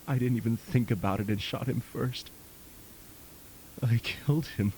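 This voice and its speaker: A man's voice: mid-range voice, unhurried, dark tone, lightly acted. A man acting in an audio drama as a desperate, guilty husband, pleading and confessing, on a hissy recording. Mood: guilty, shaken. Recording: hissy, faintly noisy tape.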